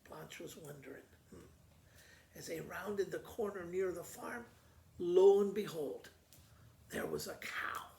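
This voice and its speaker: A woman reading a storybook aloud as a school principal, retelling it in a halting, informal, folksy way. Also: lowish voice, vocal fry, warm tone. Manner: halting, informal, self-correcting. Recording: roomy echo with faint background noise.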